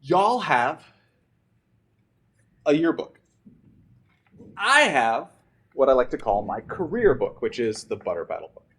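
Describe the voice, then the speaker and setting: A man's voice: medium pitch, big pitch swings, a little slow, pauses often, fairly bright tone, breathy edge. A man, a teacher, reading a rhyming picture book aloud to students in a theatrical, emphatic way.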